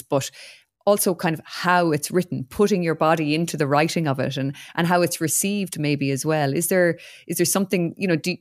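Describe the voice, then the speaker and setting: Woman host, very low voice, dry close sound, chatty and informal questioning. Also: dry recording. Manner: chatty, loose, many "kind of" and "you know". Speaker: interviewer. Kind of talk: conversational interview questions.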